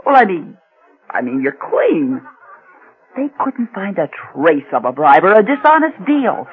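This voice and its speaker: A man's voice: high voice, very animated, unhurried. A man playing a breezy, friendly, worldly political associate, chatty and teasing in a radio drama. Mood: amused, teasing. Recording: muffled lo-fi radio recording.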